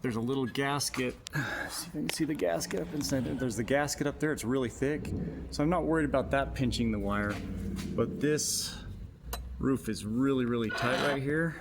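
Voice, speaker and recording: A man's voice: medium pitch, vocal fry. A man narrating a casual how-to as an installer, explaining informally step by step while he works. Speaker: installer giving a tutorial. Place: in and around a utility vehicle in a garage or shop. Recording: noisy workshop ambience behind voice.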